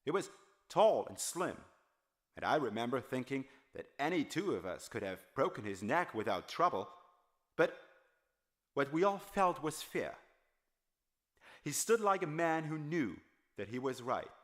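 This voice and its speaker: A weary, haunted drifter who once worked in a factory, played by a male audiobook reader. He recounts the past in a halting, solemn, reflective way, as a confession at a medium pitch. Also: sing-song. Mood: tense, remembering. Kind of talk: dramatized audiobook monologue.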